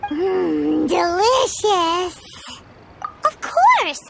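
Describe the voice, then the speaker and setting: Bubbly childlike game fairy companion, very high-pitched and animated, cutesy delivery. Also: female voice, drawn-out words, gravelly, heavily acted. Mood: blissful. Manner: cutesy, speaks of self in third person. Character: tiny childlike female fairy guide, bubbly and excitable. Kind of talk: video game character acting. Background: loud game music.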